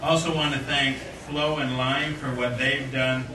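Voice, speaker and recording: A man's voice: medium pitch, level tone, measured pace, a crowd in the background. A man in his forties giving an earnest formal speech at a ceremony, with frequent fillers and restarts, into a stand microphone. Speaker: corporate speaker at a donation ceremony. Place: indoor room at an event. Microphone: stand microphone at a podium. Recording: roomy, distant-miked event audio with noise.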